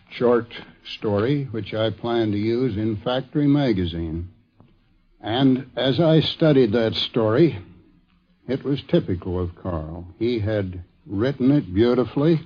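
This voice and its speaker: A man's voice: on the low side, expressive, takes it slowly, husky. Muffled old recording, older man reminiscing. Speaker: interviewee, former magazine editor. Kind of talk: anecdotal reminiscence. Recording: dull 1950s radio recording.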